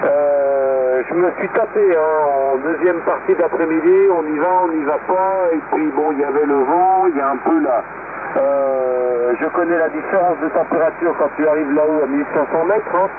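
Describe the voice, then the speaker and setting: A man's voice: a little high, normal speed. A man chatting casually as an amateur radio operator over shortwave, rambling and hesitant, with frequent tag words. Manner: hesitant, rambling, punctuated with "hein" tags. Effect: shortwave radio transmission. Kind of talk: casual ham radio chat with callsigns. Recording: narrow-band shortwave radio, clearly noisy. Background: radio static and noise.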